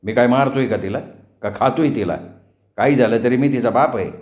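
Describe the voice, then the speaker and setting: A man's voice: medium pitch, a little fast, darkish tone, reverberant, muffled recording, lightly acted. A man voicing a stern but worried village father with a grumbling, commanding manner in a story.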